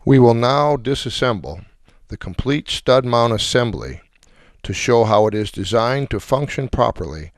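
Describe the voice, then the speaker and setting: A man with a mid-pitched voice walks through a hands-on technical demonstration. His instructions are plain-spoken and go step by step. The sound is echoey with long reverb. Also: expressive, normal speed. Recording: very echoey, reverberant room with background noise.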